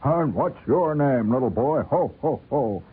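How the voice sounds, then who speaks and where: An American man voicing a hearty, booming department-store Santa Claus with a husky voice in a radio story. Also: expressive, a little slow. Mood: hearty, patronizing. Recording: thin phone-like old radio broadcast.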